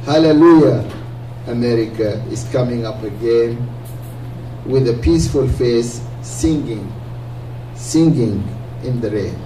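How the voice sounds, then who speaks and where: A man hosting an event, reciting a poem in a slow, declamatory way. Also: mid-range voice, warm tone. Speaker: event host. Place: small community hall. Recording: roomy hall, faint hum, from far off.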